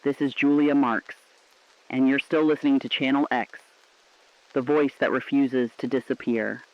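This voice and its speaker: A man's voice: mid-range voice, steady tone, moderate pace, lightly acted. A man playing a defiant, sombre resistance radio correspondent, speaking earnestly and poetically in a scripted drama. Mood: quietly defiant. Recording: muffled, lo-fi radio-drama sound.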